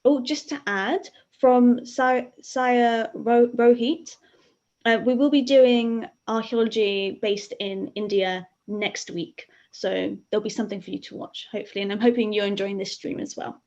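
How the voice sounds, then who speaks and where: A woman's voice: a little high, steady tone, a little slow, a little mellow. Chatty young woman, dull lo-fi sound. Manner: chatty and gushing, many "like" fillers. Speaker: livestream host. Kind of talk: casual livestream hosting.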